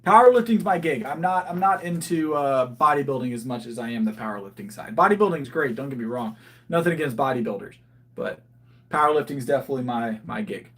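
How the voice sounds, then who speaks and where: A man's voice: medium pitch, animated, fairly quick, a bit bright. A man in his thirties chatting casually on a livestream, answering viewers and often restarting his sentences while he thinks aloud. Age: 30s. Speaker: livestreaming powerlifter. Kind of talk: casual livestream chat reading viewer comments.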